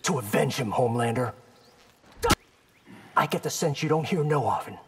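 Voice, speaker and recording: An actor playing a defiant, wry young warrior hero in a fighting game. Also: male voice, mid-range voice, moderate pace, background noise, lightly acted. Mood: defiant, sarcastic. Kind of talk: fighting-game character dialogue.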